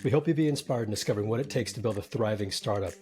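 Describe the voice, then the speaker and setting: A man's voice: fairly low pitch, racing, a little mellow, soft background music. A man hosting a startup podcast, interviewing a founder in an upbeat, conversational way. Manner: upbeat, scripted intro then conversational. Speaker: podcast host.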